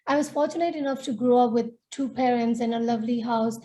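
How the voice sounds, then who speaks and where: A woman's voice: a little high, steady tone, quick pace, dark tone. A woman from Myanmar, a native Nepali speaker, telling her life story in earnest, reflective way as a webinar panelist over a video call. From Myanmar, northern Shan State. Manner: earnest, reflective, with small restarts. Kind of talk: personal storytelling talk.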